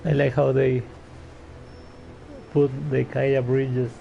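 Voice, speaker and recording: A man giving casual gameplay commentary as a streamer, in a gravelly voice through a dull microphone. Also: mid-range voice, monotone, slow pace. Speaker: game streamer. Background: faint game music.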